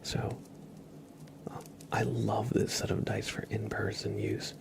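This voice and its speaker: Slow, whispering man with crackly creak. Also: fairly low pitch, completely flat delivery. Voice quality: whispered, breathy soft voice. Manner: unhurried, repeats words and names softly. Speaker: livestream host. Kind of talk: ASMR livestream, relaxed chat to viewers.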